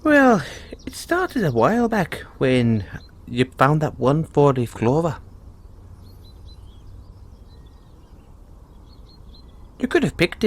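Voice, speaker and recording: A man's voice: mid-range voice, slightly rough. A man playing a cheerful, kindly leprechaun with a teasing, folksy manner, in an audio roleplay spoken to the listener. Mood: warm, touched. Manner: chuckling, teasing, folksy talk. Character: cheerful, kindly male leprechaun, chatty and sly.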